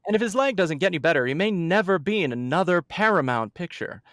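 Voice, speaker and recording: Man playing a high-voiced, scheming studio executive. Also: expressive, quick pace, fairly bright tone, dry recording. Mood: worried, conspiratorial. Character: scheming, nervous male film studio executive. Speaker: actor in a radio comedy recreation. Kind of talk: 1940s radio comedy acting.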